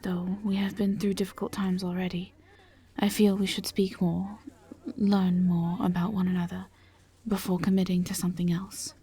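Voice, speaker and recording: A woman playing a gentle, reserved vampire in a roleplay audio drama, speaking in a hushed, breathy voice with careful, halting, formal phrasing. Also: fairly low pitch, level tone. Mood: hesitant, warm. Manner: halting, careful, formal diction without contractions. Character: gentle, reserved female vampire travelling with a knight.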